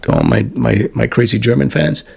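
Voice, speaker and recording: A man's voice: medium pitch, wildly swooping pitch, brisk, vocal fry, noisy background. A middle-aged man from Canada chatting casually to camera at home, rambling with lots of fillers and restarts and the odd swear. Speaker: band vocalist hosting a web show. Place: home, Florida.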